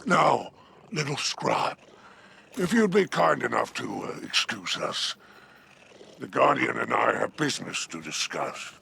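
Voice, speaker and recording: A man playing a sly, sardonic alien crime boss in a video game, gravelly and theatrical, drawling with mock politeness. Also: mid-range voice, big pitch swings, unhurried, bright, forceful tone, slight background noise, exaggerated voice. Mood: dryly mocking. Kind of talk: video game cutscene acting.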